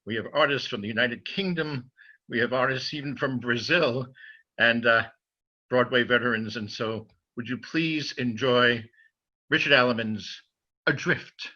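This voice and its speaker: A man introduces a staged reading in a mid-pitched voice with a sharp edge and a husky grain. The recording is a little dull and lo-fi. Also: measured pace. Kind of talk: spoken welcome introduction. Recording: slightly dull, lo-fi sound.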